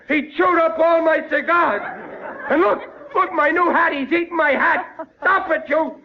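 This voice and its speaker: Muffled old radio sound carries a gullible family man. He pitches his voice high, stammers and exclaims, exasperated, in broad 1940s comedy style. Faint noise sits underneath. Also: measured pace, a faint crowd. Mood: flustered, alarmed. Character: blustering, gullible working-class family man, easily flustered.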